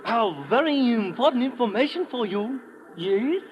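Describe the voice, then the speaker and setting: An actor playing an eager Japanese racetrack tout in an old radio drama, with a caricatured accent and clipped broken English. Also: male voice, very high voice, lively intonation, normal speed. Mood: furtive, eager. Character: eager, wheedling Japanese racetrack tout, small-time hustler. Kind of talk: 1950s radio drama acting. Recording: muffled, slightly noisy vintage radio recording.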